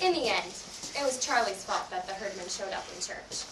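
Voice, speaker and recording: A woman's voice: medium pitch, lively intonation, fairly quick. A young woman narrating a scripted story on stage as a readers theatre narrator. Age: young adult. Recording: echoey room, distant mic, clear noise.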